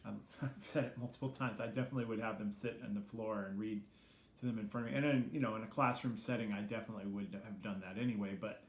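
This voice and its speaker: A middle-aged man giving casual, reflective interview answers in a hesitant, halting way, as a student teacher being interviewed. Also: fairly low pitch, fairly even intonation. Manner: hesitant, halting, self-critical. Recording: echoey room with faint background noise.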